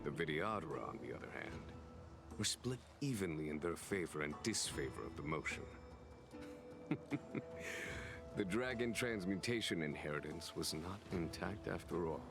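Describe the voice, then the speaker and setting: An actor playing a calm, burdened general of a fantasy realm in a video game, speaking in a measured, formal way. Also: male voice, sits low, vocal fry, over music. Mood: wry, knowing.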